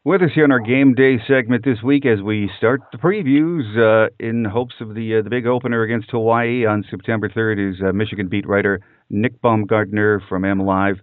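A man's voice: fairly low pitch, moderate pace, few pauses, dry recording. A middle-aged or older American man hosting a sports interview podcast. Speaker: podcast host. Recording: dull, narrow-band phone-like audio.

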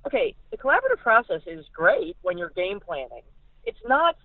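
A woman's voice: medium pitch, huge pitch swings, a little fast, no room sound. A thin, phone-like line carries an American woman talking sports as a guest analyst. She is emphatic and opinionated, repeating words as she makes her case, in a mid-range voice with a dry sound. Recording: phone-like narrow-band radio sound.

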